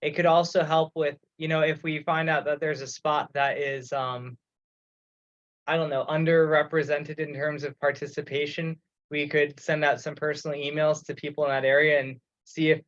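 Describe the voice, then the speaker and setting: A man's voice: a little high, level tone, normal speed, a bit bright. A man speaking casually in a meeting as an organizer, thinking aloud with some hesitation. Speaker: project organizer on a committee call. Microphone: remote teleconference call. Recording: dull, lo-fi conference call audio.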